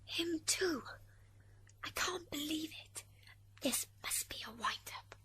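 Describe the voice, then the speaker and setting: A woman's voice: high voice, slow pace, sharp tone, in a whisper, heavily acted. A woman playing an indignant, exasperated mother in an amateur radio drama. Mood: disbelieving.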